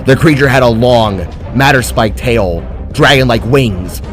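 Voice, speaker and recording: Man, mid-pitched voice, dramatic scripted narration, story reader, music behind. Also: a little slow. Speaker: narrator and author reading own story.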